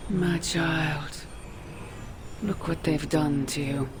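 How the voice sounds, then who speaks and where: An actress playing a coaxing demonic mother villain in a video game, speaking slowly and imperiously with soft menace. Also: low-pitched, steady tone, background noise. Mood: soft, sorrowful.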